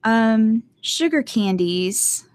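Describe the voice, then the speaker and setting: A woman's voice: a little high, animated, extremely slow, a little mellow, dry recording. A woman with a light North Carolina Southern accent chatting casually on a live stream, rambling and laughing often. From Southern United States. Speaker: streamer hosting a live hangout.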